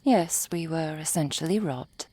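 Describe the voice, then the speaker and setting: A woman acting a composed, refined, cold-hearted merchant in an ASMR roleplay, with formal, precise, measured diction. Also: low voice, warm tone, husky, no room sound. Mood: cool, matter-of-fact. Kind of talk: ASMR roleplay audio drama.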